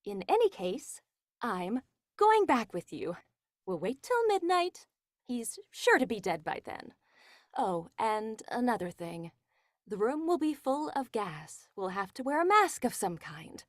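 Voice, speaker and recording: Scheming high-voiced femme fatale, acted by a woman. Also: very animated, a little slow, no room sound, studio quality. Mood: brisk, scheming. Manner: theatrical, coaxing, domineering. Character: scheming, greedy, coaxing pretty young wife, cold-blooded. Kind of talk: 1940s radio horror acting.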